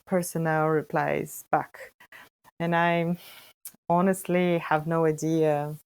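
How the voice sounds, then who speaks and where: A woman from Bulgaria in her thirties, a data scientist answering interview questions in long, animated run-on sentences with frequent restarts. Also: sits low, dry recording. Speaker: guest, freelance data scientist and startup co-founder.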